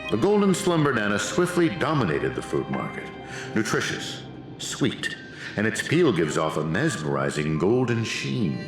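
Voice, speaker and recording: A man's voice: fairly low pitch, sing-song, moderate pace, reverberant, over music. An actor playing a villainous research aide in a video game, a pompous man who lectures theatrically. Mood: lecturing.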